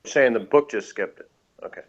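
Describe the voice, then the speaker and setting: A man with a middling pitch, heard through slightly muffled, lo-fi audio. He chats off the cuff as a review co-host, halting and circling back, often at his own expense. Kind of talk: casual unscripted review chat. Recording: dull, lo-fi audio.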